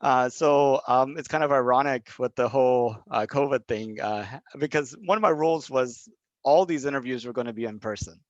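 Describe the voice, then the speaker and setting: A man's voice: mid-range voice, normal speed, no room sound. A man from Southeast Texas talking casually and unscripted, explaining things in a hesitant, rambling way as an interviewer. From Southeast Texas, USA. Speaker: interviewer running a video project. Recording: dull lo-fi call audio.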